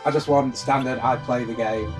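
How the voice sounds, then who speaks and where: A man with a medium-pitched voice co-hosts a let's play. His chat is casual and blunt, with game music clearly playing behind him. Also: steady tone, normal speed. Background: video game music.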